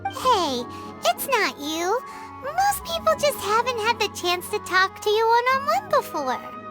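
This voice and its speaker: A woman's voice: very high voice, very animated, measured pace, heavily acted. A woman voicing a tiny, bubbly female fairy-like companion in a game cutscene, speaking of herself in the third person. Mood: reassuring. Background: game music.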